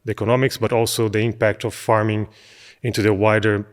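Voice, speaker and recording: A fairly low-voiced middle-aged man from Brazil, talking as an interview guest. He speaks in long, thoughtful stretches, pausing and restarting as he finds his words. The room carries a long reverb tail. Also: level tone. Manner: thoughtful, searching for words with many fillers and restarts. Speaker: podcast guest, business analyst. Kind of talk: conversational interview.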